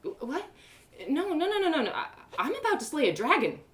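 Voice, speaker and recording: Young heroine female storyteller acting: daydreaming farm lass, animated, raised pitch, dramatic and sarcastic. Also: fairly quick. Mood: flustered. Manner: exclaiming, sarcastic. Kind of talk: storybook character voice.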